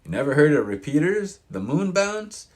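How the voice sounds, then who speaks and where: Man playing elderly storybook old-timer, crusty, grating, folksy, proud, very lively intonation, raised pitch. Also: moderate pace. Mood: indignant, eerie. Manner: folksy, emphatic, rambling. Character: crusty old mountain-town man, proud, opinionated, wistful. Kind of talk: audiobook character reading.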